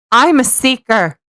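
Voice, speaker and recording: A woman's voice: fairly high pitch, a bit bright, no echo. Playful woman co-host, close dry sound. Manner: playful, giggly, stumbling over words. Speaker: podcast co-host. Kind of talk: mocking read-aloud with casual banter.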